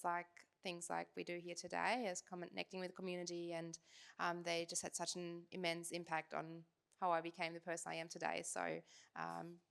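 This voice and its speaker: German-accented woman with a gravelly, even voice. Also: on the low side. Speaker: panelist, athlete. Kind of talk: panel self-introduction.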